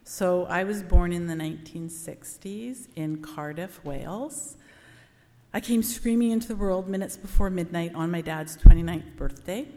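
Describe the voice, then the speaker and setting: A woman's voice: fairly low pitch, moderate pace, warm tone. A middle-aged woman sharing her personal testimony in church, conversational and reflective with frequent ums. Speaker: church member giving testimony. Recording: long church reverb.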